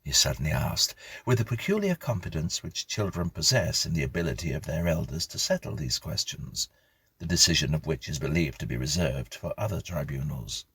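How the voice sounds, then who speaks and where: A man reading a scripted ghost story as narrator, in a measured, expressive storytelling manner. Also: very deep voice, breathy edge, no room sound. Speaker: audiobook narrator.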